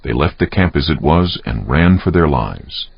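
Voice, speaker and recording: Very deep, gravelly male scripture narrator. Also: lively intonation, normal speed, no echo. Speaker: narrator of a dramatized Bible audiobook. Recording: dull, muffled audio.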